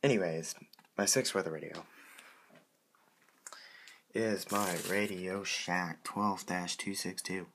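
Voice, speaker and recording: A man presenting his hobby in a casual, unscripted show-and-tell, halting and correcting himself as he goes. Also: low voice, steady tone, a bit bright. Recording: clearly noisy amateur home recording.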